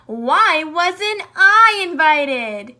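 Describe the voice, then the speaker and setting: A woman's voice: fairly high pitch, lively intonation, unhurried. A woman doing a whiny jealous jackal. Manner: whining, complaining loudly.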